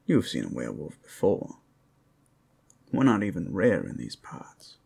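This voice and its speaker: Man voicing a gravelly, slow-drawling flirty werewolf. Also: wildly swooping pitch, darkish tone, heavily acted. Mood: teasing, playful. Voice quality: gravelly, husky low voice. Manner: drawling, folksy, teasing, unhurried. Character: flirtatious, rowdy but friendly male werewolf. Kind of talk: ASMR roleplay acting.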